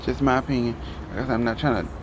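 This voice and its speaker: A man's voice: mid-range voice, fast, noisy background. A man from Detroit with a Detroit accent, ranting his opinions in a rambling vlog. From Detroit, Michigan. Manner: heated, rambling, talks to the camera. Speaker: vlogger.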